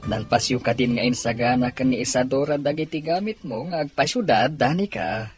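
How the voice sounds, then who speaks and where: A man playing a caring, steady father with a raspy voice in an Ilocano audio drama. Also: mid-range voice, a little fast, over music, natural voice.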